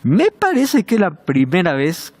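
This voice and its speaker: A man's voice: sits high, wildly swooping pitch, unhurried, darkish tone. A man hosting a televised Bible study, welcoming and speaking directly to the viewers. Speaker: host of a Bible study show.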